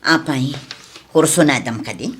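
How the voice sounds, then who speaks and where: A woman playing a composed, firm wife and household employer in a domestic drama, speaking in a measured way. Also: low-pitched, a little hiss or hum. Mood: calm, questioning. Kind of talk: domestic audio drama acting.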